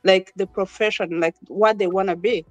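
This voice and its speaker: A woman's voice: medium pitch, fairly even intonation, moderate pace, no echo, lo-fi sound, soft background music. A woman who grew up in Uganda, a podcast guest talking casually about moving abroad with her children, laughing often and restarting her sentences. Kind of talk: casual interview conversation.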